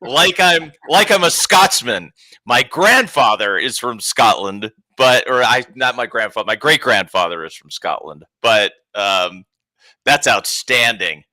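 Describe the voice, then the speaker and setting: A man's voice: medium pitch, measured pace, dry recording. A man from New York, co-hosting a casual football watchalong and laughing often. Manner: laid-back, laughs often, animated reactions. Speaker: co-host of a fan watchalong. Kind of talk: casual match watchalong chat.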